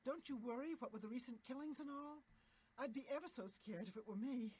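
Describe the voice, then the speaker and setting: A woman's voice: on the high side, fairly quick, husky. A woman voicing a nervous, chatty inn patron in a video game, fretting as she talks. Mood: fretful. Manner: chatty, lively, worried.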